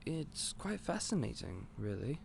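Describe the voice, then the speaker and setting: Male actor as a gentle, eccentric magical shopkeeper; slow delivery, animated intonation. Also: medium pitch, creaky, a little hiss or hum. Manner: gentle, polite, excitable and a little scatterbrained. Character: eccentric otherworldly male candy store owner. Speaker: audio roleplay performer. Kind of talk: scripted audio roleplay to the listener.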